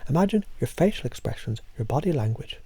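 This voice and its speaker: A man leading a slow, hypnotic voice exercise as a coach, speaking softly and often half-whispering. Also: mid-range voice, husky, dry recording. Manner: soft, calm, half-whispered delivery.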